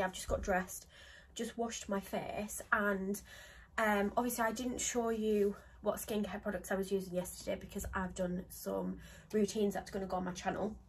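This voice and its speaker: A woman's voice: medium pitch, a little hiss or hum, low-quality recording. A young woman in her twenties, congested with a cold, chatting to a close phone camera in a small home office, rambling with lots of ums. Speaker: beauty vlogger. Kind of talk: casual chat to camera.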